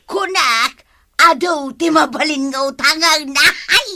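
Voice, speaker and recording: A woman's voice: high voice, slow, bright, forceful tone, a little hiss or hum, exaggerated voice. A woman with an Ilocano accent playing a chatty, giggly, wheedling neighbor in a radio comedy drama. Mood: sheepish, wheedling.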